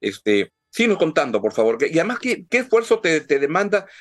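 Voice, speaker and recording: A man hosting an interview, asking questions haltingly with many restarts and fillers. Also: fairly high pitch, sing-song. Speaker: interview host. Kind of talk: interview conversation.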